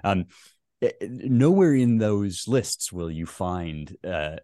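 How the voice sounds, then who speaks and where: A man speaking as a guest author in an interview, thoughtful and academic, pausing often with fillers as he reasons. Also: low-pitched, animated, warm tone, no room sound, clean studio sound. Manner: thoughtful, halting, many fillers.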